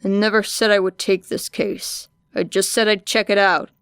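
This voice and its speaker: A woman voicing a tired, gruff grown man who talks in curt, clipped lines. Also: mid-range voice, level tone, normal speed, fairly bright tone, dry recording, studio quality, close to an everyday voice. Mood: grumbling.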